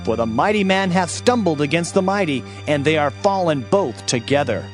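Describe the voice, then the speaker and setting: A man's voice: a little high, animated, normal speed. A man giving a dramatic, solemn reading of scripture in archaic English, as narrator of an audio Bible. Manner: solemn, declamatory. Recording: music bed behind the voice.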